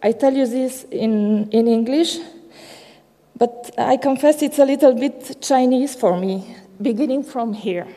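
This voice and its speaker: A woman with a Romanian accent speaks slowly and haltingly, stumbling through a technical talk with many filler sounds. Her voice sits a little high but sounds very dark and mellow. It rings with the long reverb of a large conference hall. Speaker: conference presenter. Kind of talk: technical presentation.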